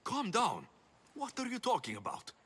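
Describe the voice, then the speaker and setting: An actor playing a practical young Greek mercenary hero in a video game, blunt and plain-spoken. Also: male voice, very high-pitched, wildly swooping pitch, normal speed, fairly bright tone, slightly rough, exaggerated voice. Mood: blunt, impatient. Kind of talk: video game cutscene acting.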